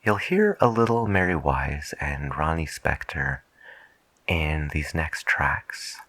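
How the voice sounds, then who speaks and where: A man with a very low voice speaks softly as a late night radio host. His delivery is slow, hushed and reflective, with a crisp edge, recorded dry and close to the microphone. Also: animated, no room sound. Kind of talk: soft-spoken ASMR radio DJ monologue.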